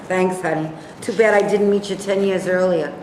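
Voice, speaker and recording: A performer in a staged reading playing a sharp-tongued, cynical working-class character who trades blunt retorts. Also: medium pitch, fairly even intonation, moderate pace, close to an everyday voice. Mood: needling. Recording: echoey room, faint background noise.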